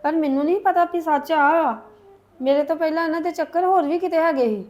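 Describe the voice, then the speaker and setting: A woman's voice: sits high, a little fast, a little mellow, lightly acted. A woman playing a deceived, remorseful young mother in a family drama, earnest and apologetic.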